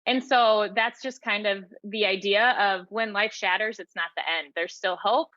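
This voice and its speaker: A woman's voice: mid-range voice, fairly even intonation, moderate pace, sharp tone, dry recording, muffled recording. A woman speaking as an interview guest, reflecting on her own experience in an earnest, explanatory way. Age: 30s or older. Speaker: podcast guest, author and teacher. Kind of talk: reflective personal interview talk.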